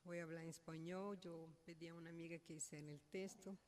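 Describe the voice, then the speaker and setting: A woman with a Brazilian Portuguese accent, a native Portuguese speaker, giving a halting, unscripted personal speech at a funeral, searching for words. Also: lowish voice, steady tone, darkish tone. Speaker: mourner giving a family eulogy.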